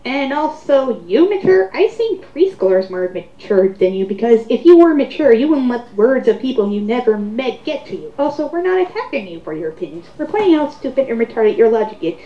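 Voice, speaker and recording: A woman's voice: on the high side, big pitch swings, brisk, few pauses. A woman reading online comments aloud in a sarcastic, theatrical way, with mocking commentary. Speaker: host of a dramatic reading. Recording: roomy, muffled home recording.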